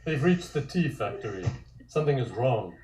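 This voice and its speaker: A man's voice: mid-range voice, very animated, moderate pace, over music. A man reading scripted comic narration and stage directions in an echoey room.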